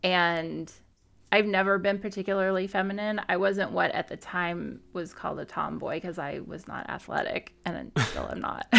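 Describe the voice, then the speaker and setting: Middle-aged woman co-host from southern Missouri, candid and reflective, low with lots of vocal fry. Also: fairly bright tone. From southern Missouri, USA. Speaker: podcast co-host. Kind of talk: casual chat.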